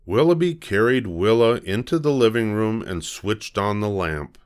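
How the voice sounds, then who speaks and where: An older man calmly narrating a bedtime storybook in a measured reading voice. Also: on the low side, smooth. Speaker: storybook reader.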